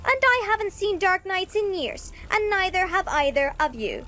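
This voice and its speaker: Fairy ranger: tiny, high-voiced, feisty and proud, fantasy audio drama. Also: female voice, animated, moderate pace, pushed and bright, slight background noise, full character voice. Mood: indignant. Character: tiny fierce female fairy ranger, quick to accuse.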